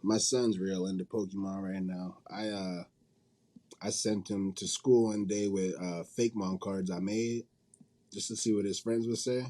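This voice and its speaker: A man's voice: sits low, steady tone, normal speed, warm tone. A man being interviewed in a casual, informal and rambling way, often correcting himself as he goes. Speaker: interview guest, manga company head and creator.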